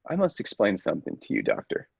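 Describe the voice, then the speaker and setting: A man playing a megalomaniac robot who passes for human, a coldly menacing villain speaking formally and deliberately in a classic radio play. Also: low voice, wildly swooping pitch, gravelly, slightly rough, no room sound, dull audio, pitched below the performer's own voice, exaggerated voice. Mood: cold, calculating.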